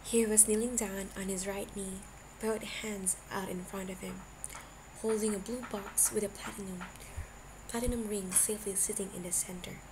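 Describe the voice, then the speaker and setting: An amateur woman reader with an informal, slightly tentative way of talking. Her pitch is average for a woman, and there is faint noise on the home recording. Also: steady tone, normal speed. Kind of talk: casual introduction and fiction narration.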